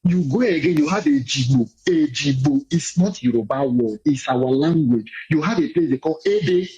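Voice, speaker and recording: A man's voice: on the high side, animated, moderate pace, warm tone, husky, highs cut off. A man making an impassioned, insistent speech, repeating his points for emphasis, heard as a played clip.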